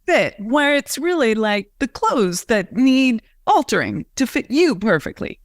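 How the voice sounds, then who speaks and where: A chatty woman host with a somewhat high voice, asking questions in a loose, filler-heavy way. Her sound is dry and close to the mic. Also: big pitch swings, unhurried, no echo. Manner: chatty, uses many fillers, asks questions. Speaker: host and coach. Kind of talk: conversational interview.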